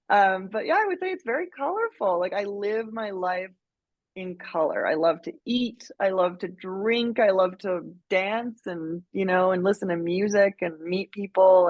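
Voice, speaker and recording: A bubbly woman in her thirties from Mexico chats as a podcast guest and laughs easily. Her voice sits at a middle pitch, and the audio is slightly muffled and dry. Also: dry recording. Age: 30s. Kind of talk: casual interview chat.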